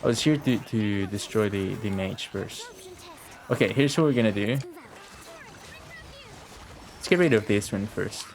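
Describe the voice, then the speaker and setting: A man's voice: on the low side, a little slow, long pauses. A man giving casual, offhand live game commentary, swearing and muttering to himself as he plays. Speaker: game streamer.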